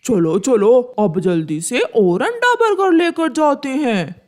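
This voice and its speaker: A woman's voice: high voice, big pitch swings, slow pace, a little mellow, exaggerated voice. A woman voicing a selfish, grumbling male crow in a children's cartoon, callous and always plotting. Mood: eager. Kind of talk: Hindi children's cartoon voice acting.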